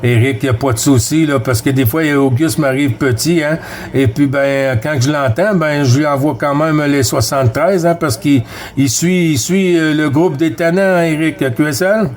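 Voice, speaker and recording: A man with a Quebec French accent and a slightly high voice talks as a hobby radio operator. He keeps it casual and friendly, with courteous handovers and plenty of radio jargon. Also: normal speed. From Quebec, Canada. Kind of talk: casual radio contact chat.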